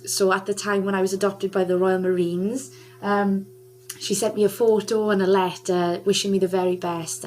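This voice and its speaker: A woman's voice: lowish voice, a little hiss or hum. A young adult woman guest on a radio interview, chatting with lots of laughter and filler "um"s. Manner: chatty, warm-hearted, laughs often, many "um"s. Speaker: guest singer.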